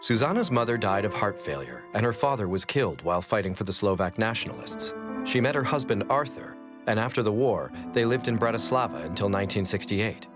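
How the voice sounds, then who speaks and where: A man reads scripted documentary narration in the third person. His voice sits a little low. Loud music runs behind his words. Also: lively intonation, brisk.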